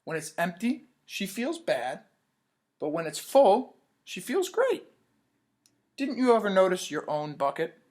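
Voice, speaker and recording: A man voicing a gentle, wise old grandfather in a storybook, patiently explaining. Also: high voice, big pitch swings, measured pace, voice pushed up, exaggerated voice.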